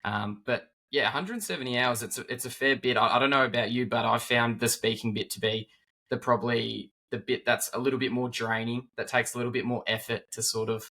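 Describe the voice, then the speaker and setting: A man hosting a casual conversation, relaxed and full of hedging words. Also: medium pitch, fairly quick. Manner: relaxed, sprinkled with hedges like "sort of". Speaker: podcast host.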